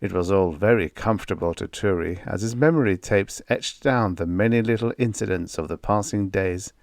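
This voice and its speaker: A man reading a vintage science fiction story aloud as an audiobook narrator, in a measured storytelling delivery. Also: low voice, no echo, clean studio sound.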